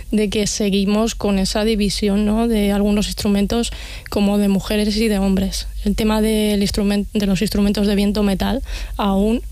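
A woman's voice: medium pitch, level tone, normal speed, mellow, clear, resonant tone, no echo. A woman with an accent from Spain, explaining things conversationally as an interview guest and often adding little tag questions. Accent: Spanish from Spain. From Castilla-La Mancha, Spain. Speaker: event organiser, interview guest.

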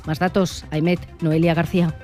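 A man's voice: on the high side, level tone, normal speed, darkish tone, soft background music. A man with an accent from Spain presenting a scripted regional radio news bulletin in a studio.

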